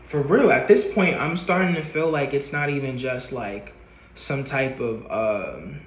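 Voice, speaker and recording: A man's voice: mid-range voice, animated, unhurried, roomy sound, low-quality recording. A man in his late twenties talking to a camera in a motivational vlog, earnest and rambling, swearing casually and repeating himself. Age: 20s. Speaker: vlogger.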